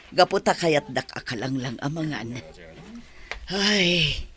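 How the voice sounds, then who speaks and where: A woman's voice: sits low, full character voice. An actress playing a devoted, motherly but firm special education teacher in a radio drama, with an Ilocano accent.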